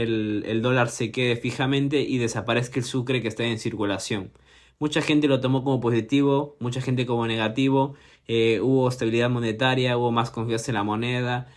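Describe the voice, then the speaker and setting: A man in his twenties from Ecuador, hosting a podcast and giving an informative explainer with frequent hesitations and fillers. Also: medium pitch. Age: 20s. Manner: hesitant, many fillers and restarts. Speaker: podcast host.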